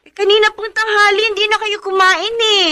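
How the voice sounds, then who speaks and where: An actress with a Filipino accent playing an exasperated but dutiful young wife in a radio drama. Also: female voice, very high-pitched, little pitch movement, brisk, sharp tone. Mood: coaxing, exasperated.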